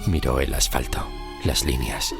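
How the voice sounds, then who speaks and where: An extremely low male voice with heavy creak narrates a horror tale over loud music. He phrases things deliberately and dramatically, leaving short pauses to build suspense. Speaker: storyteller and narrator of horror podcast.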